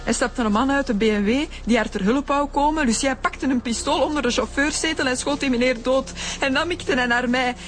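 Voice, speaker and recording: Actress as a shaken, high-voiced woman witness. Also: brisk, few pauses, a little hiss or hum, natural voice. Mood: agitated. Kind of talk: naturalistic radio drama acting.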